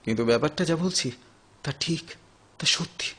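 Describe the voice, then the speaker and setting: An actor playing a lonely, anguished man of thirty in an audio play, halting and emotional, repeating his phrases. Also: a little high, fairly bright tone, husky. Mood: agitated, confessional. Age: 30s. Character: lonely, anguished thirty-year-old son of neglectful parents. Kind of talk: Bengali audio play acting.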